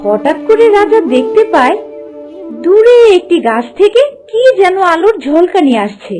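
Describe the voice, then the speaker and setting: A woman with a very high voice narrates a fairy tale. Her storybook delivery is expressive and animated, with clear music playing underneath. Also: a little slow.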